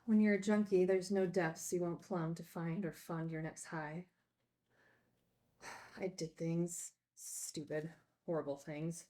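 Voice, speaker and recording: A woman's voice: on the low side, fairly even intonation, takes it slowly, warm tone. A woman playing a frank former addict confessing her regrets in a film table read. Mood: regretful, frank.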